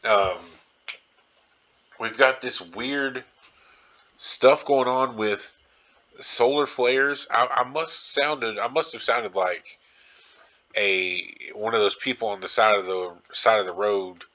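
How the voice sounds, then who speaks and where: A man's voice: on the low side, slow pace, pauses often, sharp tone. A man hosting a podcast solo in a casual, rambling style, informal and circling back to repeat his phrases. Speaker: podcast host.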